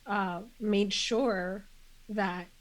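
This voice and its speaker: Slow, congested woman guest. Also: mid-range voice, fairly even intonation. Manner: earnest, measured, with many fillers and restarts. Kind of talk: reflective conversational interview.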